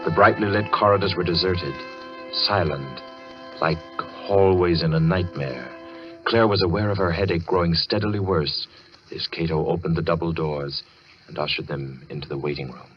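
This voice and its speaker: An actor serving as the ominous, dramatic narrator of a scripted old radio suspense thriller. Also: male voice, low-pitched, normal speed, lightly acted. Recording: muffled old radio, loud music behind.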